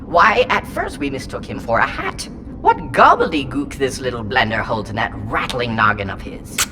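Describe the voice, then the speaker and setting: A woman's voice: rumbling low, sing-song, normal speed, over music. A woman voicing an ancient, whimsical and haughty alien entity inside a small robot in an audio drama, speaking in theatrical, rambling, pompous riddles. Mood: whimsical, musing. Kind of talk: full-cast audio drama acting. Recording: clear noise bed behind the voice.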